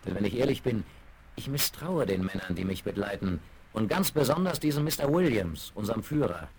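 An actor playing an earnest, courteous gentleman adventurer in a children's western radio play, polite and expressive in speech. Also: lowish voice, brisk, creaky. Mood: earnest, wary. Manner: polite, expressive, well-spoken. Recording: faintly crackly vinyl radio play rip.

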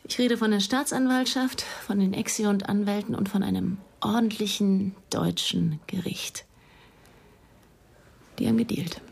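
An actress playing a weary, bitter police investigator in a radio crime drama, terse and sardonic. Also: female voice, medium pitch, steady tone, moderate pace, vocal fry, darkish tone, husky. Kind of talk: naturalistic German radio crime drama acting.

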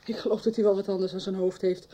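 A woman's voice: medium pitch, flat delivery, quick pace, dark tone, close to an everyday voice. A woman playing a sober, serious woman in a Dutch radio soap, speaking in a subdued way.

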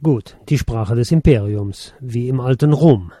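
A man's voice: medium pitch, measured pace, mellow, no echo, studio quality. A man hosting an interview, asking composed questions and summing up what his guest says. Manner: composed, summarizing. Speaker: radio interviewer.

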